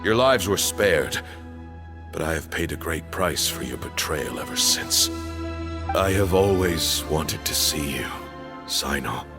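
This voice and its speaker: An actor playing a solemn male temple elder in a video game, speaking in grave, measured declamations. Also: very deep voice, lively intonation, over music, full character voice. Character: solemn male cult elder, proud and zealous. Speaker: temple leader.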